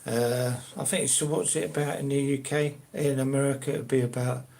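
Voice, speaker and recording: A man's voice: mid-range voice, steady tone, moderate pace, creaky, darkish tone. An older man from South London with a Cockney-ish accent, chatting casually and hesitantly with viewers as the host of his own live stream. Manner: rambling, hesitant, many fillers and restarts.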